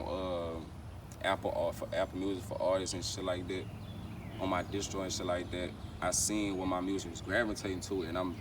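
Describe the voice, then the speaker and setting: A young man, a rapper chatting casually in street slang in an interview, rambling and repeating his phrases. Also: deep voice. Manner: rambling, repeats phrases, frequent "you know what I'm saying". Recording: distant mic, clear outdoor traffic noise.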